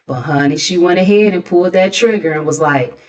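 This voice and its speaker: Sassy American woman, lowish voice. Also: a little fast. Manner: sassy, conversational, with mocking asides. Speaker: host of a gossip commentary channel. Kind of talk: casual gossip recap and commentary.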